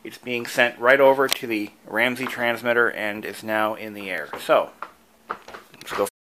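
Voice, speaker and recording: A man's voice: medium pitch, fairly even intonation, measured pace, noisy background. A man presenting a hobby tutorial, casually talking through each step with enthusiasm.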